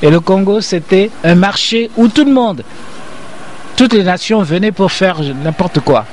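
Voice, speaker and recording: An older man explains himself with the insistence of a lecturer, circling back and repeating his points. His voice sits fairly high for a man. Faint city noise hums behind him. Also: expressive, measured pace, darkish tone. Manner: emphatic, repetitive, lecturing. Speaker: interview guest, professor. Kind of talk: interview.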